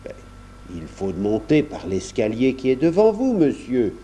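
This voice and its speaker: A man's voice: mid-range voice, huge pitch swings, a little slow, mellow, a little hiss or hum. A man voicing a polite, deferential doorman of a grand house, formal and courteous, in a storybook reading. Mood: matter-of-fact.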